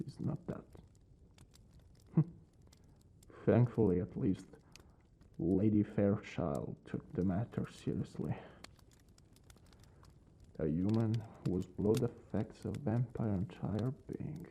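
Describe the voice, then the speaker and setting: A man acting a brooding vampire lord, aloof yet secretly caring, in a soft, breathy ASMR roleplay that often drops toward a whisper. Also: lowish voice, takes it slowly, pauses often, full character voice. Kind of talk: ASMR roleplay, intimate dramatic monologue.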